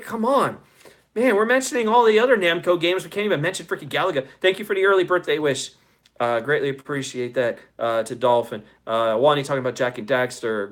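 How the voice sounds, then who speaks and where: A man in his forties with a slightly high voice hosts a casual livestream. He reads out comments from his audience and talks back to them in enthusiastic, chummy, swear-heavy slang. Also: fairly bright tone. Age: 40s. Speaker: show host.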